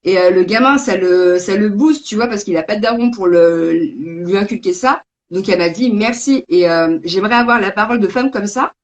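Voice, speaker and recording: A woman's voice: mid-range voice, normal speed, hardly any pauses, dull audio. A woman born in Belgium and raised in France, a game creator chatting casually and thoughtfully, with frequent hesitations, over a video call. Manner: thoughtful, talkative, many hesitations. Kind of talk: casual conversation.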